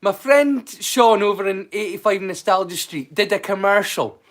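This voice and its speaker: Name: high-voiced Scottish man. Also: normal speed, fairly bright tone. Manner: rambling, full of "like" fillers, swears casually. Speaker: storyteller streamer. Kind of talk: casual live-stream chat.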